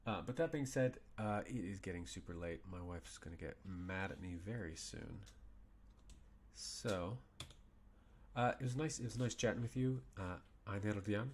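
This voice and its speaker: Low, gravelly man thinking aloud. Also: normal speed, a little hiss or hum. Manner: thinking aloud, puzzled muttering to himself. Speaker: game streamer. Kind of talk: casual gameplay commentary. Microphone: desk mic with webcam.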